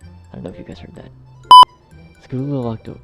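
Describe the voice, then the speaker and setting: A man's voice: mid-range voice, a little fast. A man narrating a story in outtakes, exasperated, restarting sentences and swearing at himself in asides. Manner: exasperated, self-berating, sweary. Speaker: narrator and editor. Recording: loud music over the voice. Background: loud music.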